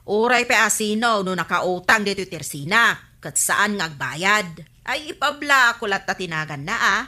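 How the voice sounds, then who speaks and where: Bright-voiced, feisty Ilocano village woman. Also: medium pitch, animated, normal speed. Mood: indignant, scheming. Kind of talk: Ilocano radio comedy-drama acting.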